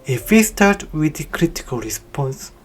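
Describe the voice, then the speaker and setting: A narrator reading a scripted film review in a slow, measured, evenly paced delivery. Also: medium pitch, sing-song, soft background music. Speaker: video essay narrator.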